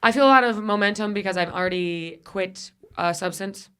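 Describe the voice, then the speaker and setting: A woman podcast host from Buffalo, talking in a studio at a middle pitch. She rambles loosely and swears a lot, with lots of jokes. Her voice has a slightly worn edge from vaping. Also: brisk, fairly bright tone, clear, resonant tone. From Buffalo, New York. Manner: crude, jokey, rambling. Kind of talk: casual comedic chat.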